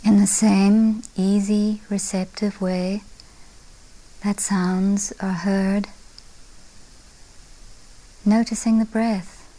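A woman leading a guided meditation, speaking gently and softly in short, unhurried phrases with breathy endings. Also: medium pitch, flat delivery, rough recording. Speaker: meditation teacher.

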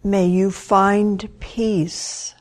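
A woman leading a guided meditation as a teacher, gentle and unhurried, leaving long pauses between short phrases. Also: medium pitch, flat delivery.

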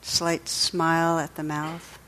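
A woman leading a guided meditation as a teacher, speaking in a gentle, soothing, unhurried way with pauses. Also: sits low, completely flat delivery, poor audio.

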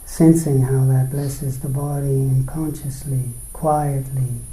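A man leads a guided meditation in a roomy, echoing space. His voice is very dark and mellow. He moves slowly, setting out short phrases and pausing between them. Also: mid-range voice, steady tone. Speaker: meditation teacher. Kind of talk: guided meditation, dharma talk.

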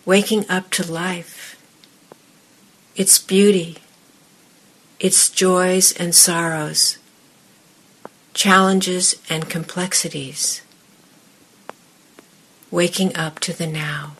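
A woman meditation teacher speaking to a group in measured, deliberate short phrases with pauses, her voice husky and breathy. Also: on the low side, steady tone, a bit bright, low-quality recording. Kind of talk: guided meditation and dharma talk to a group in the room.

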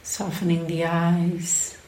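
Very slow woman guiding meditation. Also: lowish voice, completely flat delivery, dark tone, a little hiss or hum. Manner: unhurried, short phrases with long pauses. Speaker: meditation teacher. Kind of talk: guided meditation. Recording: roomy, echoing sound.

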